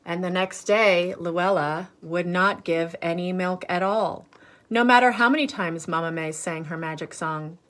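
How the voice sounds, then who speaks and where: A woman reading a picture book aloud in an expressive, teacherly way, pausing to put asides and questions to young listeners. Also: on the low side. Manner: animated, teacherly, pauses for questions.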